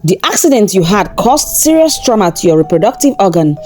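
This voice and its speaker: A woman voicing a concerned male doctor in a folktale, steady and somber. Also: mid-range voice, brisk, faint music behind. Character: serious, concerned male doctor, gently grave.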